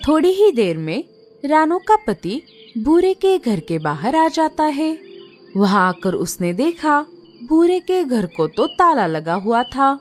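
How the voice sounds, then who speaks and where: A woman narrates a children's story slowly, with a lively, rising-and-falling voice. Her voice sits slightly high. Soft music runs beneath her. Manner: slow, expressive storytelling.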